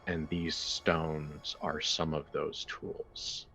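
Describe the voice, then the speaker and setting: Very deep-voiced man narrating slowly. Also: little pitch movement, pushed and bright. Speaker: game master. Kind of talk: slow dramatic improvised narration for a horror tabletop game. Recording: slightly dull audio with music behind. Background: music.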